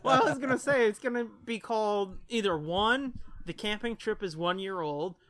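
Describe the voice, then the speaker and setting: A man co-hosting a podcast in casual, profane banter, with an irreverent dark sense of humor. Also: sits high, normal speed, no echo. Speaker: podcast co-host.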